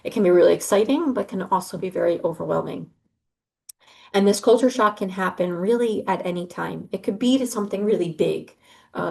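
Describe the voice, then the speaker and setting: A woman's voice: lowish voice, creaky, darkish tone. A middle-aged woman, an advisor giving an earnest, reassuring briefing to students over a video call, with frequent ums and uhs. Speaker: study abroad advisor.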